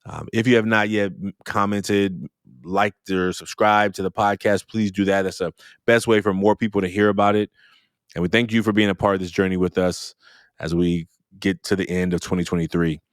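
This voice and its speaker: Low-voiced man hosting a podcast. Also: steady tone, normal speed, clean tone, no echo, studio quality. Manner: friendly, repeats himself while practicing a name. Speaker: podcast host. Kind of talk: casual podcast interview.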